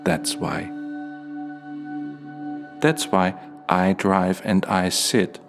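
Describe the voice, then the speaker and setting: A dreamy, solitary stage figure, acted by a man in a radio play. The voice is very low and takes its time, moving through lyrical fragments like a reverie. Soft music sits underneath. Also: fairly even intonation, creaky, full character voice. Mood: dreamy, nostalgic. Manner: slow, fragmented, lyrical phrasing. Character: Bibi, dreamy solitary stage character marvelling at orange skies. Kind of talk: radio play monologue, poetic.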